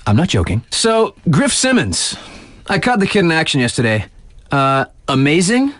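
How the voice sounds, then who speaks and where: An actor playing a laid-back but excitable young radio DJ in a video game, hyping snowboard competitors in playful, conversational slang. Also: male voice, on the high side, normal speed, no room sound, studio quality. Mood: hyped, playful. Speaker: radio DJ and sports announcer.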